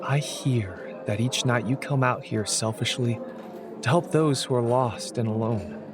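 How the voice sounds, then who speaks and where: A man acting a quiet, perceptive homeless stranger in a radio drama, speaking in a gentle, measured, probing way. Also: mid-range voice, natural voice. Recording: music under the dialogue.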